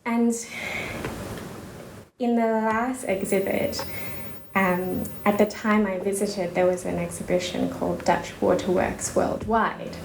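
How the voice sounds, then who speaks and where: A woman's voice: medium pitch, fairly even intonation, slow pace, vocal fry. A woman from Indonesia, a researcher guest in a casual interview, speaking thoughtfully with many fillers and restarts. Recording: echoey room with noise.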